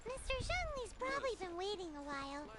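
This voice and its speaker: A woman's voice: very high-pitched, big pitch swings, brisk, noisy background. A woman voicing a tiny, perky, childlike fairy companion in a video game. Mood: perky, eager. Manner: perky, refers to self by name. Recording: game audio under loud music. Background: loud game music.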